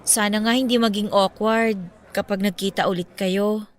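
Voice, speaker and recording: An actress playing a chatty, concerned young best friend in a radio drama, speaking expressively and with sympathy. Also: female voice, medium pitch, fairly even intonation, normal speed, lightly acted. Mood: concerned, hopeful. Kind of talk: Filipino radio drama dialogue.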